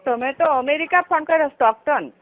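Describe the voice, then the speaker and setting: A high-pitched woman's voice comes through a tinny, narrow phone line. She has a Gujarati accent and asks questions in a guarded, unscripted way. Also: fairly quick. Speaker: recipient of a prank call. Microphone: telephone.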